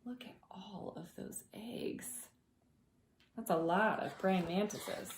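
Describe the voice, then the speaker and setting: A woman reading aloud to preschoolers as their teacher, expressive and gentle, drawing out words and adding conversational asides. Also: mid-range voice, reverberant, whispered. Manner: gentle, deliberate, drawing out words for young listeners.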